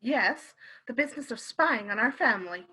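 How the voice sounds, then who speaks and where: An actress in a radio play playing a loyal, spirited and protective London wife, in a theatrical style. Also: female voice, fairly high pitch, lively intonation, moderate pace, pushed and bright, breathy edge, full character voice, rough recording. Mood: indignant, defensive.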